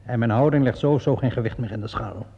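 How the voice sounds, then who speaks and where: A man's voice: medium pitch, fast talker, gravelly, husky. A man acting a shrewd, theatrical police inspector in a radio play, smugly sure of himself and politely insistent. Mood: calm, pressing. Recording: muffled old radio play recording.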